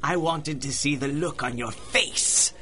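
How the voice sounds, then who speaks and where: An actor playing a vengeful masked supervillain in a radio drama, coldly gloating with menacing, mock-polite manners. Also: male voice, a little high, unhurried, sharp tone, slight background noise. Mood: gloating menace. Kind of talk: 1930s-style radio drama acting.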